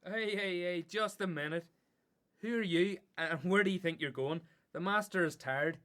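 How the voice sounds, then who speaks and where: An actor playing a big, blunt, protective follower in a radio play, brusque and challenging. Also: male voice, high voice, normal speed, sharp tone.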